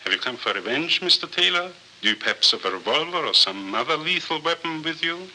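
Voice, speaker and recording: An actor in a 1940s film noir playing a cynical, fallen small-time swindler with formal, theatrical, silkily courteous speech. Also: male voice, fairly high pitch, sing-song, a little fast, bright, forceful tone, full character voice, low-quality recording. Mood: suave, mocking. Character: florid, cynical small-time chiseler, fallen grand scoundrel. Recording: hissy 1940s film soundtrack.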